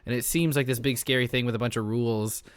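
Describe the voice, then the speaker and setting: Guy just chatting, mid pitch, thinks out loud, gets emphatic, very dry sound. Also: steady tone, quick pace, smooth, no echo. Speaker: podcast regular, tabletop role-playing player. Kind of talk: casual roundtable chat.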